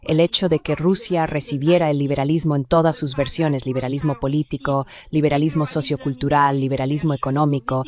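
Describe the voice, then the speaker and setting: A woman's voice: sits low, fairly even intonation, brisk, hardly any pauses, darkish tone. A woman speaking as an academic expert, giving explanatory answers in an interview.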